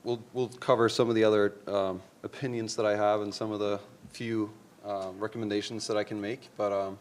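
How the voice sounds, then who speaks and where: A man from Norway speaks to a conference audience, introducing his company in a somewhat low voice. His talk is upbeat but hesitant, with many fillers between phrases. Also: little pitch movement, brisk. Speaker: startup CEO panelist. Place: conference room.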